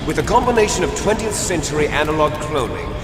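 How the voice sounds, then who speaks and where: A man playing a bitter, grandiose villain soldier in a video game, with a menacing, declamatory, taunting delivery full of dramatic pauses. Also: on the high side, lively intonation, natural voice. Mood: solemn exposition. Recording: echoey hall reverb under loud music.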